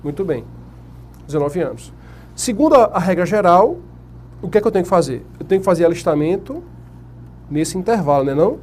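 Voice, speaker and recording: A man's voice: high-pitched, expressive, brisk, pauses often, a little mellow. A man with a Brazilian Portuguese accent giving an exam-prep lecture at a whiteboard. He asks the class questions and answers them himself. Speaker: teacher.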